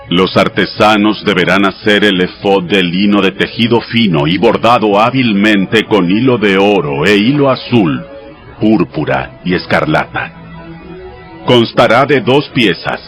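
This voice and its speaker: A man voicing God in a dramatized audio Bible, giving sacred commands in a measured, solemn, instructive way. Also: on the low side. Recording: studio voice over background music.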